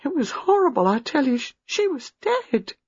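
A woman reading a storybook, playing a distraught young married woman who is frightened, halting and agitated. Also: mid-range voice, very animated, breathy edge, dry recording, muffled recording, voice pushed up. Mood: horrified. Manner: agitated, halting, rushing in bursts.

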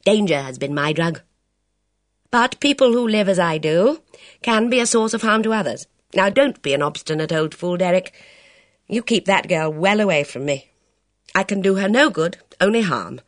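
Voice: medium pitch, animated, dry recording, studio quality, pitched above the performer's own voice, natural voice. A reader voicing a bold, reckless middle-aged woman who speaks sharply and commandingly. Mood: imperious. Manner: brisk, imperious, sharp.

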